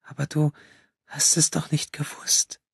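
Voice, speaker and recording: A man voicing a troubled, compassionate young woman in an audiobook, hushed, breathy and hesitant as she gently probes. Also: on the high side, completely flat delivery, a little slow, no echo, studio quality, pitched above the performer's own voice, exaggerated voice. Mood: gentle, compassionate.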